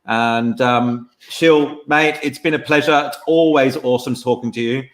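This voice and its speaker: A man hosting a casual live-streamed interview on a video call, relaxed and full of ums, calling his guest mate. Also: medium pitch, normal speed. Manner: relaxed, uses fillers like um, calls guest mate. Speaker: host. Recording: echoey room on a video call.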